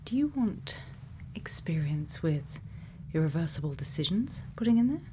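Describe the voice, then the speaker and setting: A woman doing a soft-spoken, often whispered ASMR roleplay as a caring scientist, speaking gently and without hurry in a soothing way. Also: low voice, very animated, moderate pace. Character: sweet, caring scientist speaking to a robot friend. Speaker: ASMR performer playing a scientist. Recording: faint background noise.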